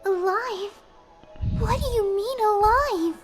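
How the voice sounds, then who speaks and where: Tiny shrill girl pony, extremely high, slow, indignant, cartoon audio story, loud music behind. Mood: frightened. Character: frightened, indignant young female cartoon pony.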